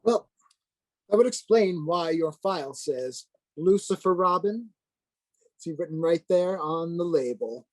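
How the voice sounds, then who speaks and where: A man's voice: fairly high pitch, animated, no echo, low-quality recording. Stern, dryly sardonic priest played by a man. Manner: formal, measured, lecturing. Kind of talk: dramatized play script reading.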